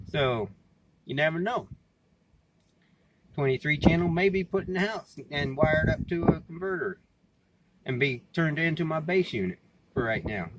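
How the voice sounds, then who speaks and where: Male American vlogger, slightly high-pitched, folksy and plain-spoken, rambling casually with repeated phrases over clearly audible noise. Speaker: vlogger and CB radio hobbyist. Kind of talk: casual rambling talk to camera. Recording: clearly noisy background, amateur recording.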